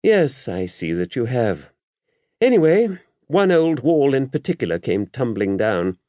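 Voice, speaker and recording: A man voicing an older abbess in an audiobook, a shrewd, gently wry woman who talks in a brisk, genteel, confiding way. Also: medium pitch, no room sound, voice pushed up. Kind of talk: audiobook character voice. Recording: dull, muffled lo-fi audio.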